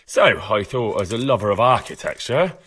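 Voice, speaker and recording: A man's voice: lowish voice, sing-song, moderate pace, fairly bright tone, slightly rough, full character voice. A man playing an earnest, persuasive young medieval investigator in a video game, polite, probing and firm. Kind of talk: video game cutscene acting.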